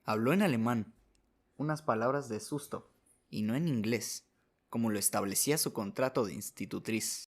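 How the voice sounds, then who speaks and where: A man's voice: mid-range voice, normal speed. A man narrating a short story as a scripted radio drama, reading as the narrator.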